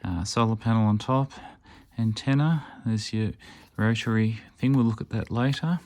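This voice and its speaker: A man with a fairly low voice walks through a product demonstration in a casual, hands-on style. He explains things step by step and stays matter-of-fact, like a hobbyist reviewer hosting a channel. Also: moderate pace, a little mellow. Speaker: amateur radio reviewer and channel host.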